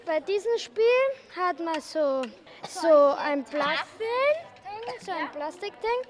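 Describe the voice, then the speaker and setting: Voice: very high voice, lively intonation, slow. A child interviewee giving a casual step-by-step explanation, repeating words while searching for the next.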